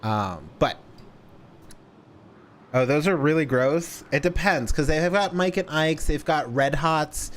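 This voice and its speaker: A man telling a story casually to a livestream audience, deliberately stretching words for emphasis. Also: fairly high pitch, expressive, a little hiss or hum.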